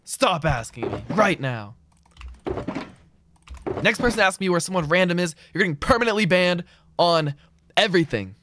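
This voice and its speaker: A man's voice: sits high, expressive, moderate pace, long pauses, background noise. A young man streaming a game, reading viewer comments aloud in slangy casual chat and getting exasperated with his chat. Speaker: gaming streamer.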